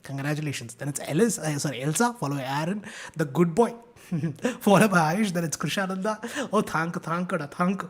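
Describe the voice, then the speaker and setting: A man's voice: a little high, normal speed. A young man from India teaching a live online science class, chatty and upbeat, bantering with his students. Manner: upbeat, banters with students, code-switching slang.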